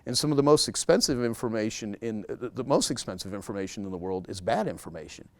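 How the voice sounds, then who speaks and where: A man's voice: on the low side, animated, fast, no room sound. A man speaking as a guest in a casual interview, talking emphatically and repeating key words to drive a point home.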